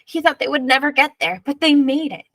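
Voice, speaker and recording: Female picture book narrator: expressive, measured read-aloud, some vocal fry, dry recording. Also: high voice, dry recording.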